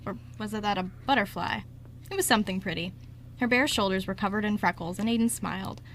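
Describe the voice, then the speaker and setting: A woman's voice: medium pitch, fairly quick, a bit bright, slight background noise. A woman narrating a scripted story as host of an amateur radio show.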